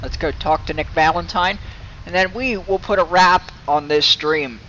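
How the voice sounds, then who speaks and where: A man's voice: fairly high pitch, normal speed, sharp tone, lo-fi sound, a little hiss or hum. A man streaming a video game, thinking aloud as he gives live gameplay commentary.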